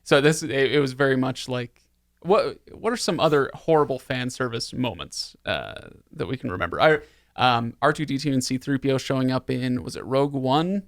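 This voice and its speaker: A man's voice: mid-range voice, expressive, moderate pace, no room sound, studio quality. A man hosting a casual movie podcast with a wry, teasing manner. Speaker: podcast host. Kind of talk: casual chat about movies.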